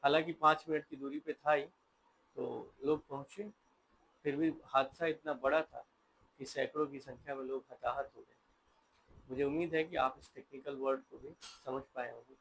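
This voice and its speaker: A man's voice: mid-range voice, fairly even intonation, moderate pace, vocal fry, fairly bright tone, a little hiss or hum, rough recording. An Indian man giving an informal explainer talk, mixing English terms into his explanatory speech. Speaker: presenter explaining a term.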